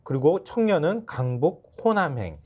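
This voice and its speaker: A middle-aged man giving political commentary on a studio talk show, animated and acting out quotes in a higher voice. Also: moderate pace, slightly rough, muffled recording. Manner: animated, acts out quotes with sudden pitch jumps.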